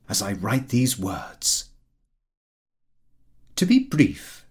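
A man giving an expressive, slightly fussy scripted reading of a comic diary novel. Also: mid-range voice, husky. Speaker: audiobook narrator.

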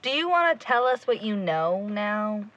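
A woman's voice: mid-range voice, sing-song, moderate pace, fairly bright tone, lo-fi sound, a little hiss or hum. A young woman acting a blunt, determined investigator in an audio drama. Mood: insistent. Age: young adult. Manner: blunt, insistent.

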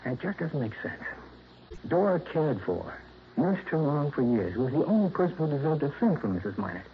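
An actor playing an earnest, troubled insurance claims man in a 1960s radio drama, hesitant and quick to protest. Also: a little high, sing-song, a little hiss or hum. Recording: dull lo-fi vintage radio broadcast.

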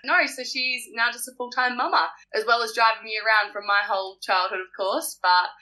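Bubbly bright-voiced young woman. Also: fairly high pitch, fairly even intonation, fairly quick, poor audio. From New Zealand. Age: young adult. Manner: bubbly, giggly, gushing. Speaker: guest. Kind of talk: casual chat.

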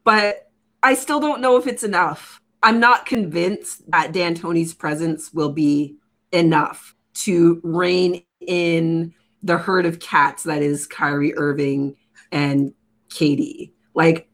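A woman co-hosting a sports podcast, talking in an opinionated, rapid way full of rhetorical questions. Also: on the low side, fairly bright tone. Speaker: podcast co-host. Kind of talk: casual sports podcast chat.

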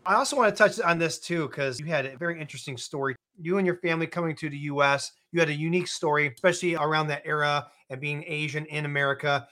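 A man's voice: on the high side, steady tone, fast, few pauses, sharp tone. A man hosting an interview, encouraging his guest and laughing along often. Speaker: interview host. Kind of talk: casual interview chat.

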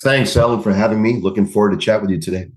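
A man's voice: lowish voice, steady tone, racing. A man speaking as an interview guest and coach in an encouraging, affirming, conversational manner.

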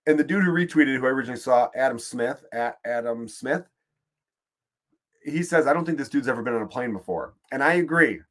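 A man's voice: medium pitch, lively intonation, brisk, a bit bright. A man with a Chicago accent, hosting a podcast and delivering a profane, exasperated, rambling comedic rant. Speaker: podcast host.

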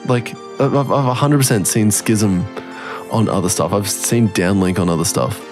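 A man with a deep, croaky voice that sounds strained from singing. He co-hosts a music podcast and talks casually and excitedly, swearing freely. Faint music plays behind him. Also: normal speed. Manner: sweary, enthusiastic. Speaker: podcast co-host. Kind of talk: casual music review chat.

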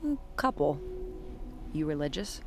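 A woman's voice: low-pitched, big pitch swings, a little slow, background noise, exaggerated voice. A woman playing a cool, guarded police detective in a naturalistic audio drama. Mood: guarded, reflective.

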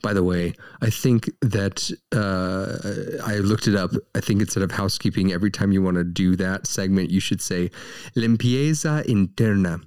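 Playful man with a low, dry voice. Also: normal speed, a little mellow, clear, resonant tone, no room sound. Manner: playful, jokey. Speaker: podcast co-host. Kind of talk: casual chat.

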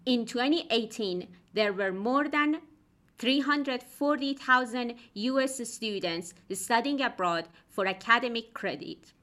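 A woman hosting an academic podcast, reading a prepared introduction and asking interview questions in a clear, measured way. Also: fairly high pitch, fairly bright tone. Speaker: podcast host, assistant professor.

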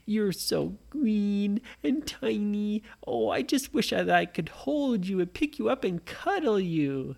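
A woman's voice: lively intonation, slow, a little mellow, exaggerated voice. A woman voicing a sweet, eager young girl who coaxes and gushes with praise. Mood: sweet, pleading. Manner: coaxing, gushing, high and breathy praise.

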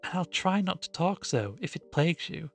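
A man voicing a meek, dreamy, patient country woman with gentle rustic speech in a storybook reading. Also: high-pitched, big pitch swings, normal speed, vocal fry, faint music behind, pitched above the performer's own voice, exaggerated voice.

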